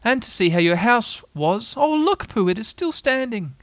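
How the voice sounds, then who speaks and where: A timid little pig from a storybook, played by a man. The voice is pitched high and sounds eager, childlike and a bit anxious. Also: sing-song, normal speed, a bit bright, dry recording, exaggerated voice.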